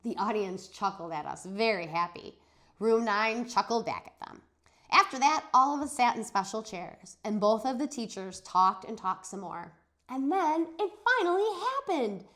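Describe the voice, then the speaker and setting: A woman teacher giving an expressive read-aloud of a children's story, acting out the dialogue. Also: on the high side, normal speed. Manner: animated, dramatizes dialogue. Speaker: teacher reading a chapter aloud for students.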